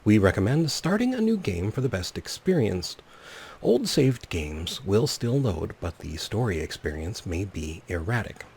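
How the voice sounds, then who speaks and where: A man's voice: on the low side, big pitch swings, normal speed, vocal fry, darkish tone, a little hiss or hum. A man hosting a let's play, commentating and reading game text aloud with wry, playful asides. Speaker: gaming video host.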